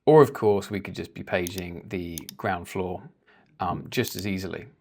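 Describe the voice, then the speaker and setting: A man working as a software trainer, explaining each step informally in a conversational screen-share tutorial. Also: low-pitched, moderate pace.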